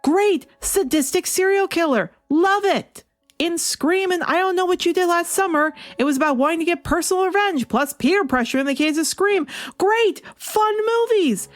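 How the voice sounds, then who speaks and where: A woman with a high voice delivers opinionated commentary as a reviewer. She is emphatic and sarcastic, leaning into incredulity as she makes her case. Music plays behind her. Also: animated, moderate pace, dry recording. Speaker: comic book reviewer.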